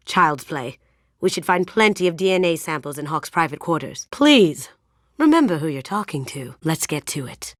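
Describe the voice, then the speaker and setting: A confident young female thief from a video game, speaks in a low feminine register. She is sly and playful, with a hushed, self-assured manner. The sound is dry, with no room on it. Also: no echo. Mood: cool, focused, then sharp warning, then quiet, sly. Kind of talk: game character voice lines.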